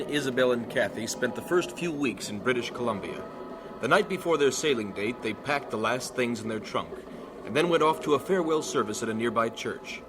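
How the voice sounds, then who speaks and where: A man's voice: mid-range voice, background noise. A man with an American accent giving scripted narration in the style of an old radio drama. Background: music.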